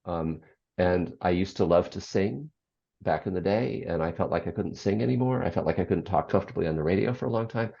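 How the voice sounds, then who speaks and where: Low, gravelly bass voice, reflective and unhurried. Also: dark tone. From United States. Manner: reflective, frequent ums and restarts. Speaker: guest, author and former radio program director. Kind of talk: interview conversation. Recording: slightly dull lo-fi audio.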